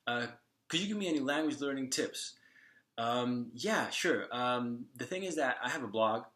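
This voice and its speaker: A man in his twenties, a native Slovak speaker, answering viewer questions to camera in a halting way, with many fillers and restarts. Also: medium pitch, lively intonation, sharp tone. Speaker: vlogger. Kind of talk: casual talk to camera.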